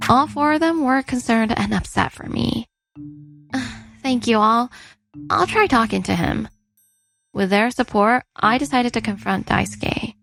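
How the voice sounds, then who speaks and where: An earnest young wife played by a woman tells her story in a dubbed manga drama. Her voice sits on the high side and sounds wounded. Music plays softly underneath. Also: measured pace, gravelly. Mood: worried. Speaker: narrator and lead character. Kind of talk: scripted first-person story narration and dubbed dialogue.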